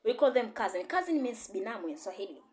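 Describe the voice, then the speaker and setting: A woman's voice: on the high side, big pitch swings, quick pace, a little mellow. A woman, a language teacher giving an encouraging online lesson and often repeating herself. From Tanzania. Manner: repetitive, encouraging, code-switching teacher. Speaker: Swahili and English teacher.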